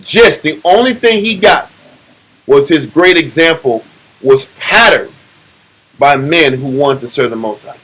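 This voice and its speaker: Animated preaching man teaching scripture. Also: on the high side, unhurried, husky. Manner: animated, preachy, laughs and repeats himself. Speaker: Bible teacher. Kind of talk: group Bible study teaching.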